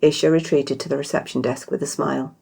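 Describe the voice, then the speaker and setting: British woman narrating, low-pitched with slight creak. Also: fairly even intonation, quick pace, darkish tone. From Britain. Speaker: author reading own story. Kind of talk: scripted story narration.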